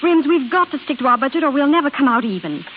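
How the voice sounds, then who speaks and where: An actress playing an earnest, anxious young wife who is easily hurt, in 1930s radio drama style on an old hissy recording. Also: female voice, high voice, very animated, fast, narrow, tinny audio. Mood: fond, worried.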